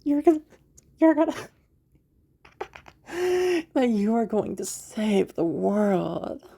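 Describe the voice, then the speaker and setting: A woman voicing a playful, teasing magical woman in a roleplay audio drama, amused and giggly with hesitant pauses. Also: fairly high pitch, big pitch swings, creaky, warm tone, breathy edge, pitched above the performer's own voice, exaggerated voice. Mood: mocking, amused.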